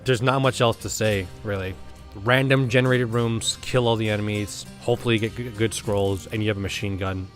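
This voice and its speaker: A man's voice: fairly low pitch, steady tone, brisk. Man casually reviewing a game over music. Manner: blunt, rambling, conversational. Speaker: host of a video game review show.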